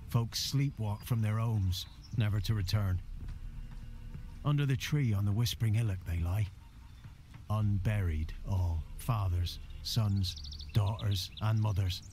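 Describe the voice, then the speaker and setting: An actor playing a weary, deferential peasant village elder in a video game, solemn and rustic. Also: male voice, on the low side. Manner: rustic, solemn, archaic dialect. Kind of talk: dramatic video game acting. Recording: music under the dialogue.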